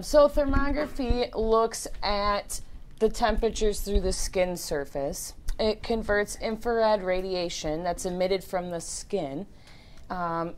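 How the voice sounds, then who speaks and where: A woman's voice: medium pitch, a little mellow, a little hiss or hum. A woman who works as a thermography technician, giving a casual, slightly hesitant talk to a live audience. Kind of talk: informal seminar talk. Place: meeting room with a live audience.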